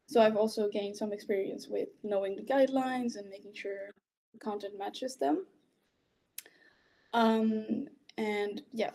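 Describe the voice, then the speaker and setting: A woman's voice: fairly high pitch, vocal fry, warm tone. A woman in her twenties from French-speaking Belgium, a native French speaker, giving an informal prepared presentation as a candidate. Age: 20s.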